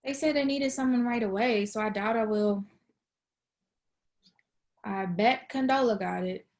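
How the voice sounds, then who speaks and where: Actress as awkward young woman: high voice, wry, sarcastic, a bit defensive, casual. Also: measured pace, darkish tone. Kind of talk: screenplay table read.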